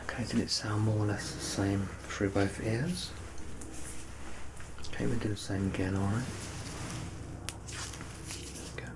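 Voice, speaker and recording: A man with a fairly low voice performs an ASMR doctor roleplay. He instructs softly and reassuringly, as if guiding a patient through an exam. Clear background noise and ambience sit behind his voice. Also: fairly even intonation, measured pace, long pauses.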